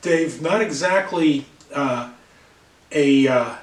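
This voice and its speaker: A man's voice: fairly high pitch, slow, roomy sound, distant mic. A man hosting an interview show, talking conversationally and stumbling over words at times. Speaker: talk show host. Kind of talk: interview host.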